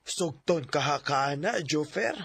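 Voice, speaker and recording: An actress with a Philippine Bisaya accent playing a nosy, teasing, husky middle-aged mother in a radio drama. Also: low-pitched, expressive, unhurried, no echo, heavily acted. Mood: teasing, curious.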